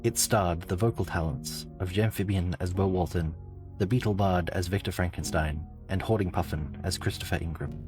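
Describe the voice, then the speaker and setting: Low-voiced man reading credits over loud music. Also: moderate pace. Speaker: credits announcer. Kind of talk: scripted credits narration.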